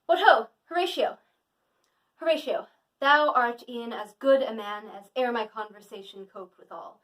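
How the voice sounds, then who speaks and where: A woman's voice: a little high, moderate pace, a bit bright, far from the mic, natural voice. A woman playing an earnest, brooding young prince in a declamatory Shakespearean monologue, confiding in a friend. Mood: warm, earnest.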